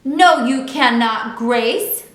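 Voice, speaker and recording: A woman's voice: fairly high pitch, drawn-out words, fairly bright tone, voice pushed up. A woman voicing a sparkly, possessive young schoolgirl in a children's storybook, insistent and emphatic. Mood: indignant. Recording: echoey untreated room.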